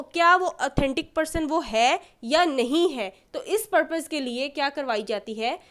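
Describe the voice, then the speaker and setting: A woman's voice: high-pitched, a bit bright. A woman with a Pakistani accent giving an explanatory lecture, teaching in a didactic way and often restating her points. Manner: didactic, repeats and rephrases points. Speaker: law lecturer. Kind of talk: explanatory lecture mixing Urdu and English terms.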